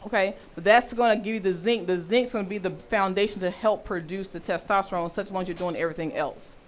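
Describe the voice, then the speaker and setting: A woman with a deep voice for a woman, teaching a health session and often checking in with her listeners. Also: fast talker, slight background noise. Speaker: health and intimacy educator.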